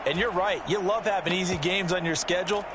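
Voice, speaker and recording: A man's voice: high-pitched, fast talker, heavy background noise, natural voice. A man playing a folksy, opinionated football analyst, giving conversational color commentary in a video game.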